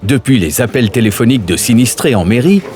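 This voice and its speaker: A man's voice: medium pitch, animated, fairly quick, a little mellow. A man reading scripted documentary narration as a voice-over. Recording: music bed under voice. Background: music.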